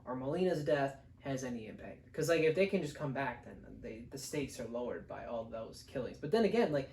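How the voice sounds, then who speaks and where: A man's voice: mid-range voice, expressive, a little fast, darkish tone, recorded from a distance. A young man in casual review chat as a co-host, explaining his points in a rambling way. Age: young adult. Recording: roomy, echoing sound.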